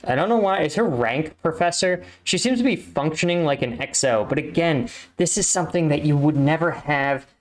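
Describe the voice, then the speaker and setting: A man's voice: fairly high pitch, animated, moderate pace, few pauses, faint music behind. A man giving informal, explanatory reaction commentary as a military veteran, often checking for agreement as he teaches. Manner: didactic, seeks agreement with rhetorical checks.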